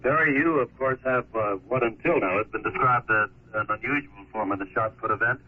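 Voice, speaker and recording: A dull, slightly noisy vintage broadcast carries an American man interviewing for radio sport. His delivery is quick, in a medium-pitched voice.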